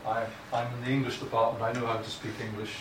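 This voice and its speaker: A man's voice: mid-range voice, flat delivery, fast talker. Blunt Scottish man lecturing in echoey room. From Scotland. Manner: blunt, informal, frequent restarts. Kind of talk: academic writing lecture. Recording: echoey room from far off, noisy.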